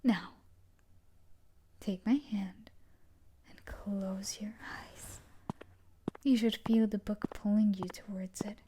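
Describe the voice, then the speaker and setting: Slow, whispery obsessive yandere stalker played by a woman. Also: mid-range voice, flat delivery, dark tone, a little hiss or hum, natural voice. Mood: coaxing. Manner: breathy, slow, coaxing, menacing, often dropping to a whisper. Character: obsessive yandere stalker woman, a dark romance book character come to life. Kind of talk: intimate roleplay audio drama.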